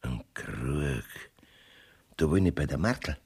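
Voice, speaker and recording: An actor playing an uneasy, crafty village judge in a Bavarian dialect radio play, gravelly and husky, blustering and evasive. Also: male voice, a bass voice, animated, takes it slowly, darkish tone, heavily acted. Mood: uneasy, probing.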